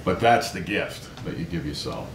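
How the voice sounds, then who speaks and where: Deep-voiced earnest man in a roomy space. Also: normal speed. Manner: earnest, emphatic, checks in with "right?". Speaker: author, interview guest. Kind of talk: conversational interview. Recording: roomy echo, low rumbling background noise.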